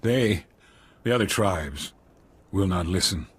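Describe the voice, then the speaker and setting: A halting husky alien chief. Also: male voice, low-pitched, lively intonation, fairly bright tone, exaggerated voice. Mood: pleading. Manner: halting, broken phrasing.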